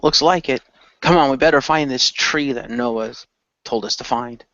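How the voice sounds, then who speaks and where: A man's voice: medium pitch, normal speed, fairly bright tone, dry recording, muffled recording, natural voice. A man voicing a cocky, casual, bantering hero in an amateur radio play.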